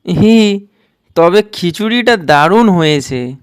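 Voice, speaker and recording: Cartoon role: performer as earnest little village boy, food-loving, unhurried pace, smooth voice. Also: medium pitch, expressive, no echo. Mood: eager. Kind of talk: cartoon dialogue.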